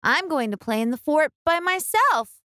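A woman's voice: sits high, sing-song, normal speed, bright, forceful tone, dry recording, studio quality, pitched above the performer's own voice, full character voice. A woman voicing a determined, self-reliant little girl in a storybook, with emphatic, sing-song delivery. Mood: indignant, firm.

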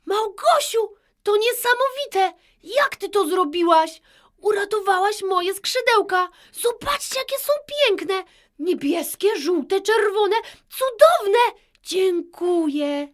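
A woman voicing a fragile little male butterfly with low self-esteem in a children's audio story, speaking plaintively. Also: expressive, full character voice. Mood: amazed, grateful. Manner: plaintive, slow.

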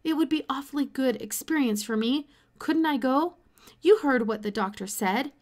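Woman as an eager, excitable boy, high-pitched. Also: moderate pace, lightly acted. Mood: pleading. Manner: exclaiming, earnest.

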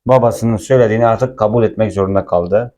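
A man's voice: on the low side, fast talker. A man giving a casual language lesson, explaining film dialogue and repeating words with their translations. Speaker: Arabic teacher.

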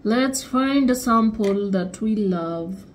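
Young woman, lifestyle vlogger, unhurried relaxed chat, faint background hiss. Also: medium pitch. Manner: relaxed, chatty, talks to viewers as "guys". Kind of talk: casual vlog chat. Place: home kitchen and dressing room, indoors.

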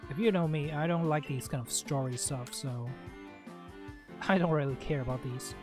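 A man's voice: fairly high pitch, moderate pace, music in the background. A man giving casual, rambling and blunt commentary as he hosts a gameplay video. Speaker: gaming video host playing and commenting.

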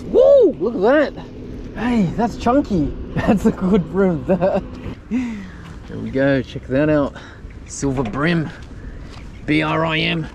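A young man commentating his own fishing live from an outdoor wharf at night, chatty and loudly excited when fish bite. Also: sits high, vocal fry. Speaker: fishing vlogger. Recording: outdoor night recording with background noise.

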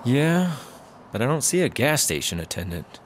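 A man acting as a blithe, excitable cop with hugely swooping intonation. He is casual and shameless, in a comedy audio drama with faint ambient noise behind him. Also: medium pitch, moderate pace, lightly acted. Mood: laid-back, eager. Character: carefree, shameless, food-loving male cop.

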